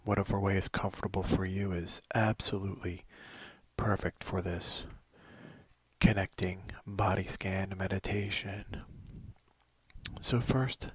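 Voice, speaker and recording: A middle-aged man leading a guided body scan meditation, slow and soothing, often sinking into a whisper. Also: deep voice, fairly even intonation, breathy edge, no room sound.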